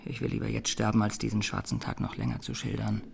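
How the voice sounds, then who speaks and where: An actor playing a haunted young Armenian defendant giving grave, deliberate testimony in a courtroom radio drama. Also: male voice, lowish voice, sing-song, vocal fry, loud music behind the voice, full character voice. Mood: anguished.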